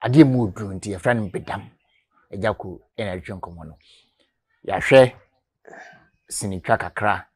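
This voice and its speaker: A man's voice: on the low side, moderate pace, pauses often, slightly rough, dry recording, clean studio sound. A man in his thirties with a Ghanaian accent, hosting an outdoor interview in a mix of Twi and English on a lapel mic. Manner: lively, mixes Twi and English, makes channel announcements. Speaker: interview host. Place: outdoors in a garden yard.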